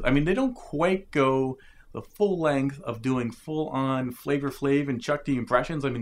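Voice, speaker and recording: A man talking casually about old hip hop records, thinking aloud with frequent fillers. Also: medium pitch. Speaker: music reviewer.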